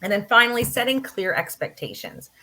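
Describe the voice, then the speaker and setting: A woman's voice: mid-range voice, wildly swooping pitch, brisk, bright, forceful tone, rough recording. A woman college instructor giving a conference talk in an earnest, practical way, with frequent fillers. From Canada. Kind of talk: conference presentation.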